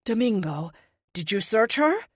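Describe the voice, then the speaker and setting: A woman's voice: on the high side, very animated, a little slow, breathy edge, no room sound, clean studio sound, voice pushed up, exaggerated voice. Woman acting stern, haughty villainess witch. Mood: commanding. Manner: stern, scornful. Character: menacing silver-haired witch villain woman, cold and haughty.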